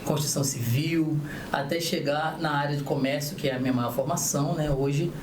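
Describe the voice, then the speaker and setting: Relaxed man, echoey room. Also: on the high side, a little fast. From Niterói, Rio de Janeiro state, Brazil. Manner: relaxed, many restarts and tag questions. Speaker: accountant and tax coordinator. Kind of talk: interview guest telling his career story. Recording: echoey room, faint noise.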